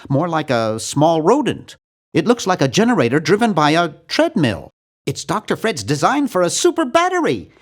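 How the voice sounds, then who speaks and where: A man voicing a nerdy, earnest and anxious young hero in a video game, with a fussy, animated delivery. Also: high-pitched, exaggerated voice. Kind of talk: video game character voice lines.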